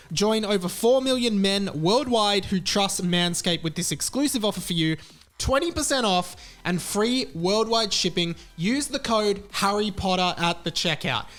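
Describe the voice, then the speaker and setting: A man with a notably high voice talks like a casual podcast host. His delivery is frank and informal, and he likes to hammer a point by repeating it. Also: a bit bright. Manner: informal, frank, emphatic repetition. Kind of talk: casual podcast talk and chatty ad read.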